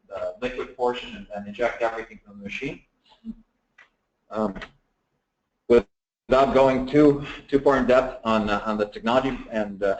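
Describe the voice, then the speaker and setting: A man from the Dominican Republic giving a relaxed technical talk at a seminar, with many fillers and restarts. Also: mid-range voice. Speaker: guest speaker. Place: seminar room, echoey. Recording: echoey room.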